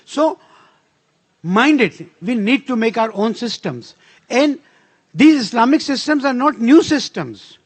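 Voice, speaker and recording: A man with a Pakistani accent whose first language is Urdu, giving an emphatic conference speech and slowing down to stress his key points. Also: very high-pitched, expressive, no echo. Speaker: conference speaker.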